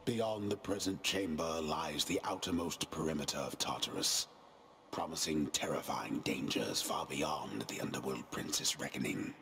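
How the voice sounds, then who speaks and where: An actor playing a grave old storyteller narrating an underworld myth in a solemn, ornate, gravelly style. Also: male voice, very deep voice, fairly bright tone, exaggerated voice. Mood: solemn, ominous. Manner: solemn, ornate, deliberate delivery. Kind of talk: scripted dramatic narration. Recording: music behind, roomy reverb.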